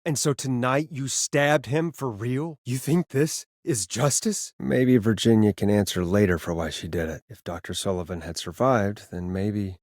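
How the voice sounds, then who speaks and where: A man playing an earnest, rattled radio host in a video game, speaking in a halting, conversational way as he reasons with a killer. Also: on the low side, a little mellow, dry recording, studio quality. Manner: halting, stammering, conversational delivery. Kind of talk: dramatic video game voice acting.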